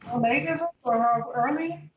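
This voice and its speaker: A woman's voice: sits high, moderate pace, muffled recording. A woman in her fifties chatting casually, often repeating words as she searches for the next one. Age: 50s. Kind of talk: casual chat. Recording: echoey room with light background noise.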